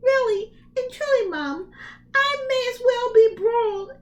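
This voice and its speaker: A woman reading a storybook, voicing a bright, eager young boy who exclaims and pleads politely. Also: very high-pitched, unhurried, voice pushed up. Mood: pleading.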